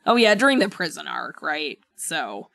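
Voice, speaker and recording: A woman co-hosting a casual book discussion podcast, thinking aloud in a halting, conversational way. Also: deep voice, big pitch swings, vocal fry, fairly bright tone, no echo. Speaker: podcast co-host.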